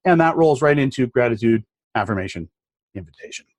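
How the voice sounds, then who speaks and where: A man's voice: medium pitch, expressive, a little fast, dry recording. Middle-aged male coach, mid-range voice, punchy and motivational, dry close-miked sound. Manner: emphatic, motivational, speaks in punchy lists. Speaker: guest, mindset coach. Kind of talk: podcast interview.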